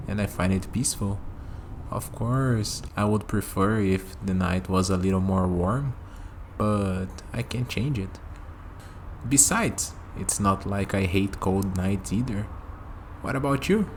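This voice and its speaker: A man's voice: on the low side, background noise. A man acting a friendly, easygoing human on a night walk in an ASMR roleplay, musing conversationally to the listener. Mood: casual, friendly.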